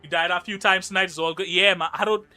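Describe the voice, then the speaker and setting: A man's voice: high voice, fairly even intonation, fast, sharp tone, soft background music. A young man with a Trinidadian accent chatting casually on a live game stream, joking and reading chat messages aloud. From Trinidad and Tobago.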